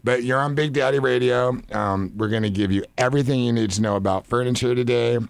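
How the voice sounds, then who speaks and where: A man hosting a radio talk show, chatty and easygoing, with a raspy voice today. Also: mid-range voice, fast talker, dry recording.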